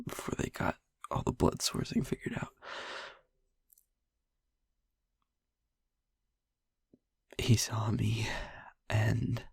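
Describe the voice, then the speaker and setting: A man playing a traumatised young vampire boyfriend in an intimate audio roleplay, speaking in a breathy hush with halting pauses. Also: fairly low pitch, dry recording, clean studio sound, heavily acted. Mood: subdued, haunted. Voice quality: breathy, whispery. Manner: halting, hushed, pausing mid-sentence.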